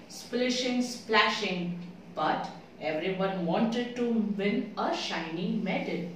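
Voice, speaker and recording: Echoey, distant woman reading a children's story. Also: mid-range voice. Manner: expressive, asks the listener questions. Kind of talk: children's read-aloud storytelling. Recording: very echoey room, distant mic, faint noise.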